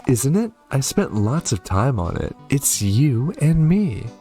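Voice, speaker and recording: A man's voice: medium pitch, very animated, takes it slowly, dark tone, faint music behind, voice pushed up. A man voicing a small, sweet, pleading young boy from a game, in a childlike, plaintive way. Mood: eager, proud. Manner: childlike, plaintive storybook read-aloud.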